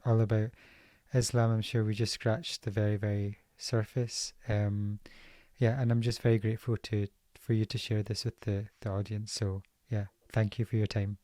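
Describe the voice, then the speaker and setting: A man hosting a podcast, soft-spoken and hesitant, with plenty of fillers as he thanks his guest. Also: fairly low pitch, flat delivery, normal speed, no echo, studio quality. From Scotland. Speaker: podcast host. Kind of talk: casual interview wrap-up.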